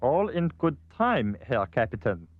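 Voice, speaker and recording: An actor playing a pompous, scheming cartoon villain with a precise, haughty manner. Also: male voice, mid-range voice, very animated, takes it slowly, phone-quality sound. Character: pompous scheming villain with a cold, logical mind.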